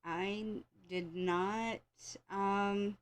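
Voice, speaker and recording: A woman's voice: medium pitch, fairly even intonation, extremely slow, clear, resonant tone. An American woman hosting a wrestling show in casual, rambling chat, with a slightly congested, scratchy voice and an informal, opinionated fan's way of talking. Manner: opinionated, informal fan talk with repeated phrases.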